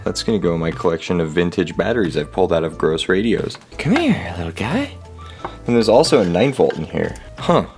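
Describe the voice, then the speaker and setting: Low-pitched man, jokey hands-on vlogger. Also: very animated, a little fast, darkish tone, soft background music. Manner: jokey, sarcastic, talks to the objects. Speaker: host of a hobby repair video. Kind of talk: casual hands-on vlog commentary. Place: home garage.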